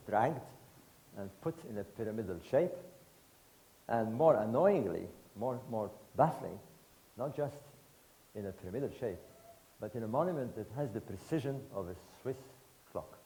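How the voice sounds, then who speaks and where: A man in his sixties from Egypt, giving a public lecture to an audience in emphatic, rhetorical bursts of short phrases with pauses. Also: on the low side, warm tone, a little hiss or hum, rough recording. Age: 60s.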